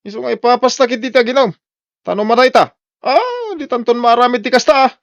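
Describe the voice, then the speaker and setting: A man's voice: very high voice, expressive, brisk, vocal fry, no room sound, muffled recording, exaggerated voice. A man with an Ilocano accent playing a hard-drinking husband in an audio drama, boisterous with friends, belligerent or sheepish at home. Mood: tipsy, rowdy.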